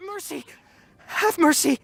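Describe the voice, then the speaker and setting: A timid, rambling bandit. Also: male voice, very high-pitched, unhurried, creaky, a bit bright, heavily acted. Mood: pleading. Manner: flustered, pleading, rambling with fillers. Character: timid young male bandit caught red-handed. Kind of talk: game voice acting.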